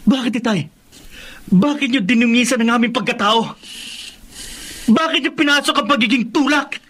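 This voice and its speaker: A man's voice: very high voice, normal speed, bright, forceful tone, exaggerated voice. A Filipino man playing a bitter, defiant young grown son in a radio drama. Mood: bitter, accusing.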